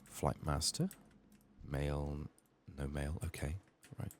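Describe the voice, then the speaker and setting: Very deep, hushed soft-spoken man. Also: slow, slight background noise. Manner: hushed, often slipping into whispers. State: sick, strained voice. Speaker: video game streamer. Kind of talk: soft-spoken ASMR gameplay commentary.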